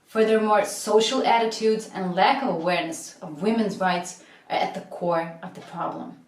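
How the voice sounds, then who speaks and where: A woman, a human rights advocate, giving a steady, formal prepared statement. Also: medium pitch, normal speed. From Croatia. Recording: echoey room, distant mic.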